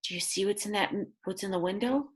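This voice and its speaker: A woman reads a picture book aloud to young children in an expressive way, adding chatty asides about the pictures. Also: mid-range voice, fairly quick. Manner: expressive, exclaims and gasps over the pictures. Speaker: read-aloud storyteller for children.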